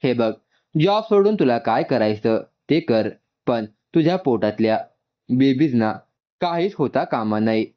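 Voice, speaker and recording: Man voicing stern bossy woman, commanding, mid pitch, dull lo-fi audio. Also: animated, measured pace, lightly acted.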